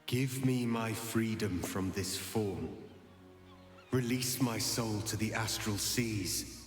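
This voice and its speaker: Casting: anguished inhuman warrior prince, low-voiced and grave, very deliberate delivery, echoing over music. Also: male voice. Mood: solemn resolve. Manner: solemn, measured, declamatory. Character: transformed warrior prince, anguished, resolute, begging for release. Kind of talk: dramatic video game acting. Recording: echoey hall reverb with music behind.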